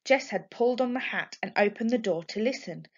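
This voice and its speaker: A woman's voice: fairly high pitch, fairly quick. A woman reading a children's story aloud expressively, like a teacher to a class. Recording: dull lo-fi home recording.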